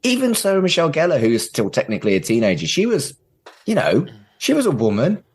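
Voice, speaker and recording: A man's voice: on the high side, huge pitch swings, fast, breathy edge. A man hosting a podcast in a casual, conversational style. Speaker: podcast host.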